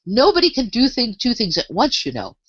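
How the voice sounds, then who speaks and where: A woman's voice: a little high, wildly swooping pitch, a little fast, fairly bright tone, breathy edge, no room sound, lo-fi sound, voice pushed up, exaggerated voice. A woman voicing a scatty, imperious old queen in an emphatic, dotty manner for a storybook reading. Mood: bossy, fussing. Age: older. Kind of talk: storybook character voice.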